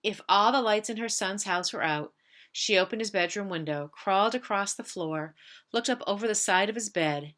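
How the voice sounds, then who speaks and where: A woman's voice: mid-range voice, normal speed, fairly bright tone. A woman reading a picture book aloud to young pupils as a member of school staff, lingering on repeated phrases. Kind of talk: children's storybook read-aloud.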